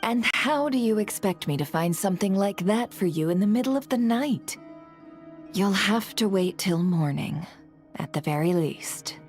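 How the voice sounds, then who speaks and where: A woman's voice: medium pitch, lively intonation, normal speed, darkish tone, faint music behind, natural voice. A woman voicing a cool, shrewd female boss in a video game, dry, teasing and languid. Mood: reluctant, businesslike. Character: cool, wry businesswoman boss, shrewd and unhurried. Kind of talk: English video game voice acting.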